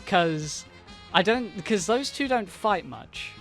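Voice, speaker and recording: A man's voice: high-pitched, lively intonation, takes it slowly, fairly bright tone, faint music behind. A man co-hosting a casual podcast, talking with enthusiasm and rambling a little. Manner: enthusiastic, rambling with fillers and laughs. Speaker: podcast co-host. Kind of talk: casual chat.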